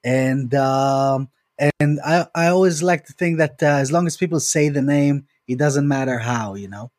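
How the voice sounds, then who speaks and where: Man, native hebrew speaker, mid pitch, measured with fillers, dry close sound. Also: a little mellow, no room sound. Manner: measured, hesitant with many fillers. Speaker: guest, company founder. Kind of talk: casual podcast interview.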